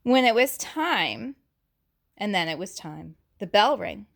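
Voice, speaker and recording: Slow, deliberate woman reading a storybook aloud. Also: mid-range voice, creaky. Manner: animated, child-directed, asking questions. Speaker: teacher reading a picture book to young children. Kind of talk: expressive read-aloud storytelling with asides and questions.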